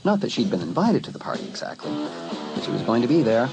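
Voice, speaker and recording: A man narrating a dramatised teen horror story in an expressive, dramatic storytelling manner. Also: mid-range voice, quick pace, vocal fry, music in the background. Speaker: audiobook narrator.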